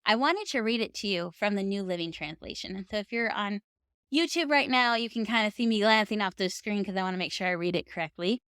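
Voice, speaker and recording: A woman's voice: mid-range voice, expressive, fast, a bit bright, clear, resonant tone, no echo, studio quality. A woman speaking as a podcast host, giving advice in an earnest, conversational and encouraging way. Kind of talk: encouraging advice talk to camera for writers.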